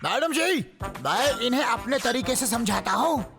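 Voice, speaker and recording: A man's voice: very high-pitched, animated, a little slow, creaky, full character voice. A man voicing a protective, devoted male shark in a Hindi cartoon dub, earnest and pleading. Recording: music behind the voice.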